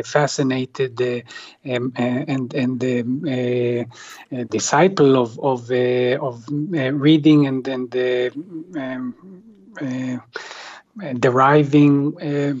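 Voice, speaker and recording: A man whose first language is Hebrew, a translator answering interview questions in a halting, reflective way, full of ums and uhs. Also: mid-range voice, a little mellow, no room sound, lo-fi sound. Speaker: translator, guest.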